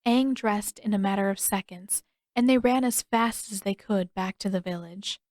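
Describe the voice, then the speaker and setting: A woman's voice: mid-range voice, moderate pace, darkish tone, no echo, studio quality. A woman reading scripted fiction as an audiobook narrator.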